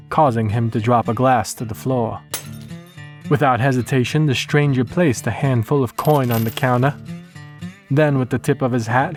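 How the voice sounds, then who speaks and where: A male narrator tells a western horror story in the first person. His mid-pitched delivery is measured and folksy, with plucked guitar playing behind him. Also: a little mellow. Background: plucked guitar music.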